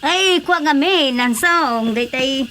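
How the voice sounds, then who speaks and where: A woman's voice: high-pitched, takes it slowly, bright, forceful tone, a little hiss or hum, heavily acted. An actress with a Filipino accent playing an insistent, nagging village woman in a radio comedy drama. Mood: fussing.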